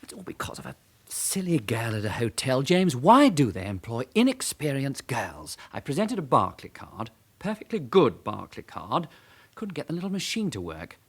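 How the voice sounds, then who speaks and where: A man's voice: medium pitch, very animated, normal speed, slightly rough. An English actor playing a nervy, well-spoken con man on the run in a British radio drama. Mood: exasperated.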